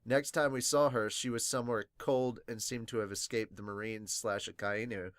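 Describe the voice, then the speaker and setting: A man hosting a fan reaction podcast in a casual, excitable way with some swearing, sounding a little congested and sniffly. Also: on the low side, moderate pace, no echo, studio quality. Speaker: podcast host reviewing manga. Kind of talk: casual fan reaction, reading viewer comments aloud.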